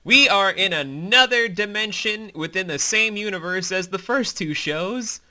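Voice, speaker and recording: A man's voice: high-pitched, animated, unhurried, bright, forceful tone. A man giving casual behind-the-scenes commentary as creator and host, informal and emphatic, with some swearing.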